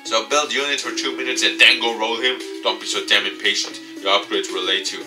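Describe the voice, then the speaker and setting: A man voicing a brusque, sharp-tongued young female gaming coach from a visual novel, scolding and sarcastic. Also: on the low side, fairly even intonation, a little fast, far from the mic, over music, close to an everyday voice. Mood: impatient scolding. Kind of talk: visual novel read-aloud.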